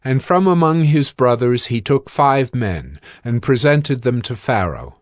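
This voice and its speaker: A man narrating scripture in a solemn, formal, measured scripted style. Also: medium pitch, expressive, no echo, studio quality. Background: soft music.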